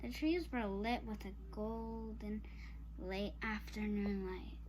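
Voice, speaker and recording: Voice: medium pitch, steady tone, slow pace, very noisy. A child reading a children's chapter book aloud to listeners in an informal way. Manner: informal, apologizes and speaks straight to listeners. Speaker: storybook reader for online viewers.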